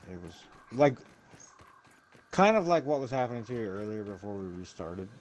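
A man's voice: on the low side, big pitch swings, moderate pace, muffled recording. A man chatting casually while gaming, rambling and swearing freely. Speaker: gamer talking while playing. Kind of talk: casual chat. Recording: clearly noisy game-session mic, clicks.

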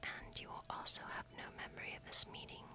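A young woman voicing a kind, curious forest witch in breathy, whispered ASMR fantasy roleplay, telling stories gently and without hurry, close to the microphone. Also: close to an everyday voice. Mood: caring, earnest. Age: young adult. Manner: gentle, unhurried, theatrical storytelling. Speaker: ASMR performer.

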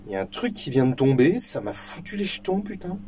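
A young man hosting a casual vlog from a small indoor shooting booth, joking, swearing freely and filling pauses with lots of ums. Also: a little high, big pitch swings, background noise. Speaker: firearms video host.

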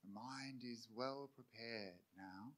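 A man who teaches meditation, guiding a sitting practice calmly and gently, in short phrases with long pauses. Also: medium pitch. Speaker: meditation teacher, Buddhist monk. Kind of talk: guided meditation.

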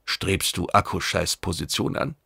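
A quiet alien adviser, played by a male narrator in a science fiction audiobook. He is deferential and calculating, speaking low and measured with a gravelly edge. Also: expressive, no room sound, studio quality, exaggerated voice. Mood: probing, careful. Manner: subdued, measured, careful.

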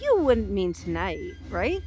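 A woman's voice: medium pitch, huge pitch swings, measured pace, warm tone, soft background music. A woman playing a chatty radio host in an audio drama, friendly, inquisitive and a little wry. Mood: curious, wry. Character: curious, chatty female Canadian radio show host.

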